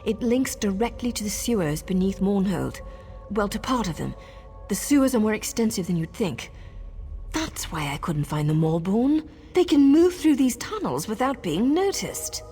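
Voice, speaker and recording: A woman's voice: mid-range voice, big pitch swings, normal speed, dark tone, long reverb tail, faint music behind. An actress voicing a wry, roguish adventurer woman with a sordid past in a video game, sly, teasing and conversational. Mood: worried.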